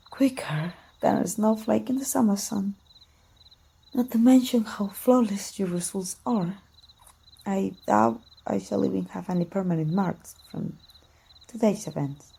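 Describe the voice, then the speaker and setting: A woman voicing a proud, teasing, wounded immortal warrior in an ASMR roleplay, with soft, breathy, archaic formal speech. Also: mid-range voice, a little slow. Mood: gentle, concerned.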